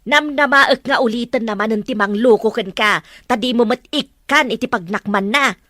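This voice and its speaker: A woman's voice: a little high, normal speed, bright, forceful tone, no echo, studio quality. A woman playing a protective, disapproving mother in a drama, with a firm, scolding manner. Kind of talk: Ilocano radio-style drama acting.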